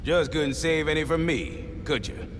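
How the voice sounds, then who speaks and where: An actor playing a brash, joking veteran soldier in a video game cutscene. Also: male voice, on the high side, moderate pace, slight background noise, close to an everyday voice. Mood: gruff. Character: gruff veteran male soldier, wisecracking sergeant. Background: music score.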